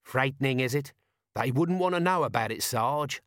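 A man's voice: mid-range voice, expressive, moderate pace, a bit bright, no room sound, clean studio sound, voice pushed up, lightly acted. A man voicing a scruffy, cheeky sidekick with a chatty, matter-of-fact manner. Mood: chatty, proud.

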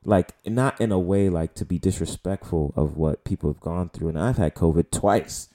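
A man's voice: low-pitched, normal speed, mellow. A man in his late twenties with a New York accent, talking loosely and profanely as host of a solo podcast. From the Bronx, New York. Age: late 20s. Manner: loose, profane, rambling with many fillers. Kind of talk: casual solo podcast talk.

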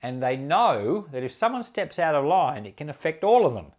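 Man, pastor, animated intonation, earnest and conversational, scripture reading and commentary, repeats for emphasis. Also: fairly high pitch, moderate pace. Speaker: pastor and podcast host.

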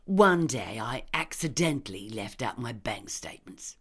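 Woman acting a sneering, indignant villainess, swooping pitch. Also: sing-song, a little slow, breathy edge, exaggerated voice. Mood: cold confession. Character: ranting, scornful middle-class woman villain confessing murder. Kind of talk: audiobook character dialogue.

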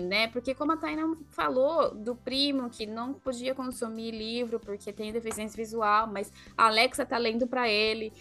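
A woman's voice: fairly high pitch, sharp tone. A Brazilian woman co-hosting a podcast in casual, emphatic conversation. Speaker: podcast co-host.

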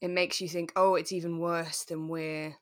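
A British woman co-hosting a podcast in a relaxed, reflective chat, explaining her thoughts as she goes. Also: lowish voice, normal speed. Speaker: podcast co-host. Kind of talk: relaxed chat reviewing a radio drama.

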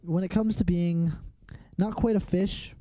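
A man's voice: high-pitched, fairly even intonation, a little slow, dark tone, dry recording. A young man co-hosting a podcast, joking and rambling in casual chat and losing his train of thought. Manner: joking, rambling, easily distracted. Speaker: podcast co-host.

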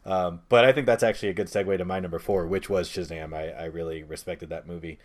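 A man with a low voice hosts a relaxed talk about films. He offers opinions conversationally and slips in joking asides. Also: quick pace. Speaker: podcast host. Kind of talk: casual movie discussion.

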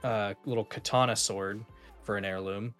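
A man's voice: on the low side, steady tone, normal speed, fairly bright tone, faint music behind. A man co-hosting a podcast, explaining things in casual, informal gaming chat. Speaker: podcast co-host.

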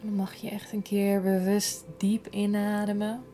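A woman guiding a yoga nidra relaxation in a gentle, soothing, unhurried manner, breathy at times. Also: mid-range voice, monotone, soft background music. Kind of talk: slow guided relaxation meditation.

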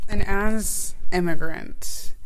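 A woman's voice: medium pitch, drawn-out words, low-quality recording. Chatty young woman, faint noise behind. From Maryland. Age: young adult. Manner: chatty, laughs often. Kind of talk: casual conversation.

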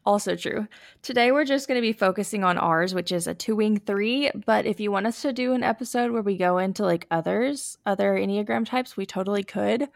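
Young female podcast host, chatty, allergy-scratchy and sniffly, gravelly fry, dry room. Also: mid-range voice, a little fast, no room sound. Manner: chatty, lots of fillers. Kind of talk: casual chat.

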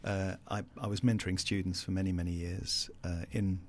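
A man's voice: deep voice, level tone, fairly quick, slight background noise. A man in his sixties with a South African accent, a guest in a casual interview who hesitates, restarts and repeats words while speaking earnestly.

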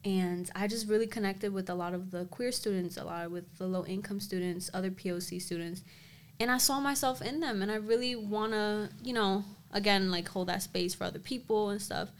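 A woman's voice: medium pitch, brisk. A young woman, a co-host, chatting casually on a podcast, rambling and laughing often. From Dominican American, grew up in the US.

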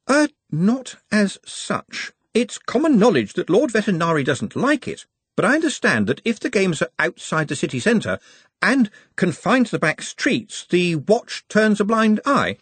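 A man's voice: sits high, big pitch swings, measured pace, fairly bright tone, slightly rough, dry recording, pitched above the performer's own voice, exaggerated voice. A man reading an audiobook, voicing an anxious, precise young male wizard who hedges before bad news. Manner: hesitant and precise, with fussy hedging.

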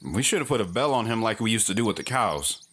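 A man playing a gruff, sardonic farm brother with dry deadpan delivery in an audio drama. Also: lowish voice, fast talker, a little hiss or hum. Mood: dry, reluctant.

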